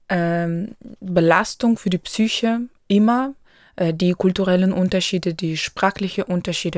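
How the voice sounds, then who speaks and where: A young woman tells her own story as an international student, in a slightly low voice. She talks hesitantly, often pausing and filling gaps with soft fillers. The sound is dry and close, with no room echo. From Ukraine. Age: 20s. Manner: hesitant, frequent pauses and fillers. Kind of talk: personal account.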